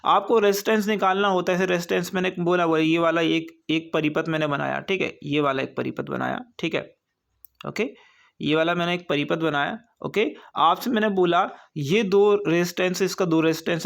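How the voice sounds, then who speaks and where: A man with a high voice and an Indian accent teaches in an informal coaching style. He repeats key points, poses questions and answers them himself, and keeps dropping in short tags to check that students follow. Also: vocal fry. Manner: repetitive, asks and answers own questions, frequent check-in tags. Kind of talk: informal exam-prep lecture.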